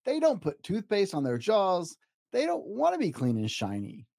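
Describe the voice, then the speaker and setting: A man's voice: sits high, huge pitch swings, moderate pace, creaky, darkish tone, dry recording, pitched above the performer's own voice, exaggerated voice. A man voicing a defiant little boy who pretends to be a growling dinosaur in a children's storybook. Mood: stubborn. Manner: boisterous, growling and roaring.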